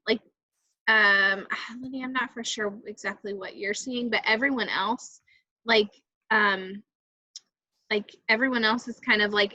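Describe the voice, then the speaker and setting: Bright, high-voiced woman, hesitant and casual. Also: no echo. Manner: hesitant, thinking aloud. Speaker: event moderator giving technical help. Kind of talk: casual technical walkthrough. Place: video call. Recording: dull, dry video-call audio.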